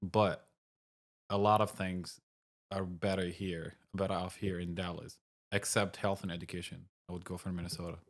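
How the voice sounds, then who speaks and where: Deep-voiced blunt Syrian-born man. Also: level tone, normal speed. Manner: blunt, short answers. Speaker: cafe owner, guest. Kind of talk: casual interview talk.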